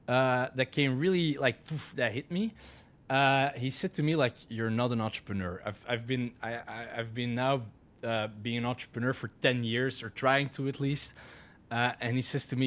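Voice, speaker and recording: A man's voice: medium pitch, fairly bright tone. A man whose first language is Dutch, hosting a casual podcast interview in English, often restarting and searching for words. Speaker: podcast host.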